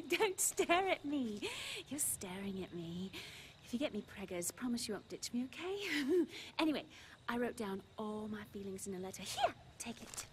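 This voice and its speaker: Game role: giddy schoolgirl superfan turned assassin, girlish, wildly animated, hesitant gushing delivery. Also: on the high side, unhurried, a little hiss or hum. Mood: giddy, nervous. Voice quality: girlish, giggly. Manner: gushing, hesitant teen slang, sudden swoops. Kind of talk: video game cutscene acting.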